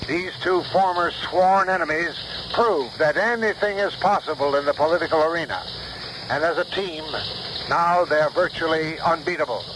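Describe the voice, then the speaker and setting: A man acting a sensational newscaster in a 1970s radio play. His fairly high voice delivers the bulletin formally and emphatically, through clearly noisy old broadcast sound. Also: moderate pace, natural voice. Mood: urgent, dramatic.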